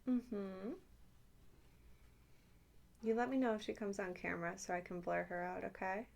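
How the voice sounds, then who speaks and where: A woman with a creaky, fry-edged voice at a middling pitch talks as an ASMR host. She rambles casually and intimately to the listener, slipping in playful, teasing asides. There is faint noise behind her. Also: little pitch movement, moderate pace, a little mellow.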